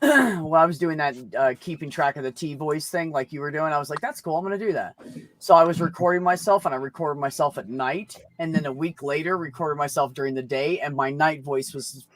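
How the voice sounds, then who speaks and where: A man's voice: fairly high pitch, a little fast, fairly bright tone. A man in a casual group chat, talking bluntly and informally with plenty of swearing.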